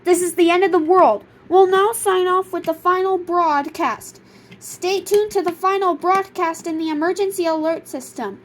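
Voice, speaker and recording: A woman's voice: very high voice, moderate pace. A woman reading a scripted emergency broadcast as a formal announcer.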